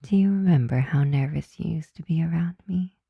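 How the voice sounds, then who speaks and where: A woman playing a flirty, softly dominant, affectionate vampire roommate in an intimate ASMR roleplay, breathy and often whispering, teasing and tender. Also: deep voice, a little fast, no echo, lo-fi sound, exaggerated voice.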